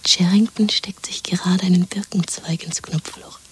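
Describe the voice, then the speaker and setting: Breathy, whispering young woman lover. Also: fairly low pitch, fairly even intonation, moderate pace. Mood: playful, hushed. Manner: intimate, hushed, coaxing. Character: Julia, tender dreamy young woman lover plotting escape. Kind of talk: 1970s German radio drama acting.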